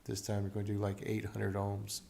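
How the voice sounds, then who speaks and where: A man with a fairly low, very even voice talks through a hands-on technical demo without a script, feeling his way haltingly from phrase to phrase. The sound is noticeably roomy. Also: vocal fry. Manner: halting, hesitant, improvised explaining. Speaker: electronics hobbyist demonstrating equipment. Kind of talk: unscripted technical demonstration. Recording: roomy echo, dull muffled sound.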